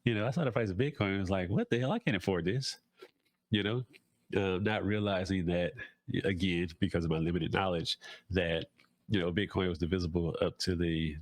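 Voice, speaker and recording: A man's voice: deep voice, dry recording. A man talking casually as a podcast host, nasal and congested from a cold, rambling with fillers and restarts. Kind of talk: casual solo talk.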